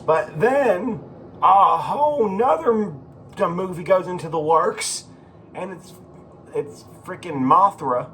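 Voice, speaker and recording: A man giving casual, rambling video commentary as a host, halting and repeating himself, with informal, sweary phrasing. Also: high-pitched, animated, a bit bright, a little hiss or hum. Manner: profane, informal. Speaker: YouTube host.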